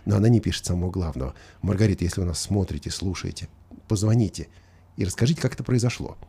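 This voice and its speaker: A man's voice: sits low, expressive, fast talker, creaky, dark tone, husky. A man hosting a conversational talk show, questioning and arguing his points and acting out imagined remarks. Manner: argumentative, questioning, acts out imagined quotes.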